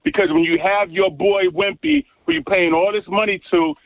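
A man's voice: high voice, lively intonation, moderate pace, no echo. Boastful New York man on a phone line. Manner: boastful, emphatic trash talk. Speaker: radio caller. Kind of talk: call-in sports rant. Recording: tinny dry phone line.

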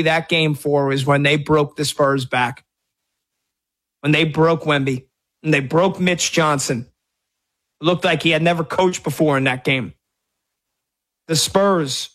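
Hyped sports radio host man. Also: on the high side. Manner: hyped, celebratory, shouting catchphrases.

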